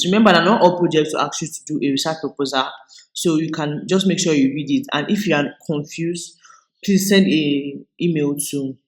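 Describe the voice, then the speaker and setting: Nigerian male host, tutorial voice-over, step-by-step explainer, slightly higher voice. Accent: Nigerian English. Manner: explains step by step, relaxed, with frequent restarts. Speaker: video host. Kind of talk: screen-recorded tutorial walkthrough.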